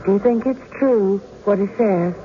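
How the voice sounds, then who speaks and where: A woman's voice: medium pitch, normal speed, crowd noise, natural voice. An actress playing an anxious, indignant frontier woman with a folksy, fretful manner in a radio drama. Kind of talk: 1970s radio drama acting. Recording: muffled, noisy old radio broadcast.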